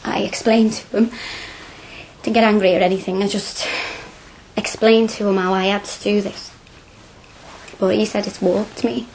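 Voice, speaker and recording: A woman's voice: medium pitch, flat delivery, moderate pace, darkish tone, slight background noise, lightly acted, rough recording. An actress playing a spirited working-class woman of twenty-six in a radio drama, speaking colloquially and insistently. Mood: upset, subdued. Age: young adult.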